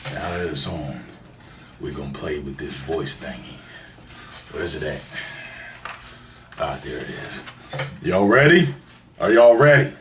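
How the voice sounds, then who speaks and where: Low-voiced man with animated delivery. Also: far from the mic. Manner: playful, exclamatory, slangy. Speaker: video host. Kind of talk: casual unboxing chat. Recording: roomy, off-mic, faint noise.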